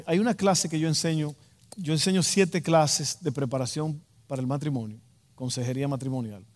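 A man with a Dominican Spanish accent preaches a sermon, emphatic and direct, repeating key phrases for weight. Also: a little high. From Dominican Republic. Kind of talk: sermon to a congregation. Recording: long hall reverb.

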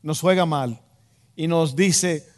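A man's voice: fairly high pitch, slow, a little mellow. Dominican man preaching in a reverberant hall. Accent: Dominican Spanish. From Dominican Republic. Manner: emphatic, repeats key phrases, direct address. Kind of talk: sermon to a congregation.

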